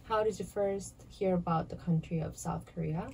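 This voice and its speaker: A woman's voice: on the low side, steady tone, moderate pace, gravelly, darkish tone, a little hiss or hum, low-quality recording. A woman hosting an interview, asking questions and attentively murmuring along as she listens. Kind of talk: casual interview.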